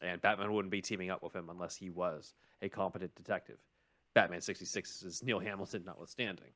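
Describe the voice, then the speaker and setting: Male podcast host, low pitch, explains things in an informal, conversational register. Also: steady tone, a little fast, bright, forceful tone. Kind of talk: casual discussion and ad reads.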